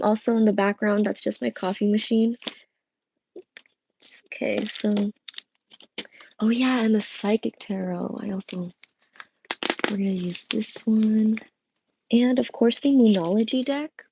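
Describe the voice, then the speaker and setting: Slow, gravelly, strained woman tarot reader. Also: mid-range voice, dark tone, muffled recording, slight background noise. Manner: rambling, many fillers and restarts. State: voice strained and worn. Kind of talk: casual livestream chat. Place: home room with coffee machine. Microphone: earbud headset mic.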